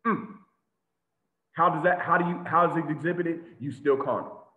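Guy preaching on a video call, fired up, keeps repeating himself, kinda high voice, thin phone-ish sound with echo. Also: normal speed. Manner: animated preaching with repetitions and shouts. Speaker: teacher of a congregation. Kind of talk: sermon over slides. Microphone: video call webcam. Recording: tinny phone-like echoey audio.

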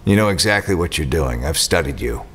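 An actor playing a shrewd, cocky mercenary in an audio drama, sardonic and confident. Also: male voice, very deep voice, expressive, a little fast, fairly bright tone, slight background noise. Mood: coolly confident.

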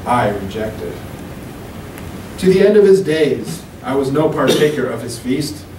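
A man in his thirties reading poems at an open mic into a handheld mic, slow and deliberate, with casual asides between poems. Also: mid-range voice, big pitch swings, darkish tone. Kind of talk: poetry reading. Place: small room at a podium. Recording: echoey room, camera from far off, hum.

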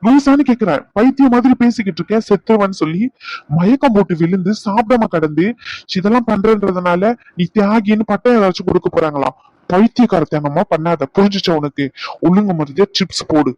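A man's voice: sits high, fairly quick, a little mellow, no room sound, natural voice. A middle-aged man acting as an uncle who scolds and coaxes his stubborn niece. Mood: scolding. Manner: scolding, then coaxing. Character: stern but caring middle-aged uncle. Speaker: uncle of the young woman. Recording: dull lo-fi recording.

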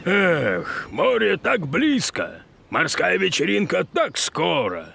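Casting: flustered cartoon ship captain, melodramatic, slow and halting, wildly swooping pitch, music underneath. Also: male voice, wildly swooping pitch, gravelly, noisy background, full character voice. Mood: glum, puzzled. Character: talking cruise ship captain, flustered adult male, comic. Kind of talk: children's cartoon voice acting. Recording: music behind the voice.

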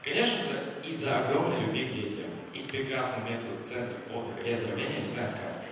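A man's voice: mid-range voice, fast. A young man giving a rehearsed self-introduction as a contestant on a theatre stage. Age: teens to young adult. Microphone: handheld microphone through hall speakers. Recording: very echoey hall, distant, clearly noisy.

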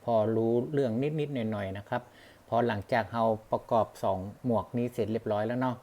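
A man's voice: mid-range voice, a little fast, darkish tone. A man giving a casual, unscripted product demonstration as a vlogger, rambling and self-deprecating as he often addresses his viewers. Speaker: vlogger demonstrating motorcycle gear. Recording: clear ambient background noise.

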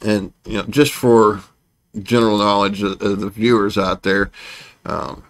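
A man in his forties, host of a home art channel, talks casually about upcoming painting projects, rambling with many restarts and fillers, filmed seated in a small dark home studio. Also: on the low side, fairly even intonation, a little slow. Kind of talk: casual sit-down channel update.

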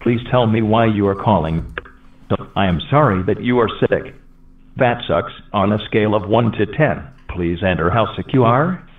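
Text-to-speech man, automated phone menu, low-pitched, robotic deadpan, narrow phone audio. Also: normal speed. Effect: text-to-speech synthesis. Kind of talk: synthesized scripted phone prompts.

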